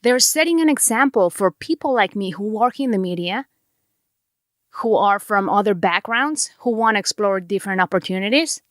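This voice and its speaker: A woman's voice: mid-range voice, lively intonation, moderate pace, no echo, clean studio sound. A woman with a Mexican Spanish accent, a native Spanish speaker, hosting a podcast with an earnest, heartfelt motivational monologue. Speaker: podcast host.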